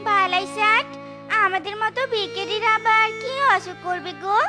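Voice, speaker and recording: A very high, childlike-pitched beggar wife from a cartoon melodrama, played by a voice actor. She is humble and devoted, coaxing and pleading in a folksy way, with loud music behind her. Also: fairly even intonation, normal speed. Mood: tender. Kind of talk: melodramatic cartoon story dialogue.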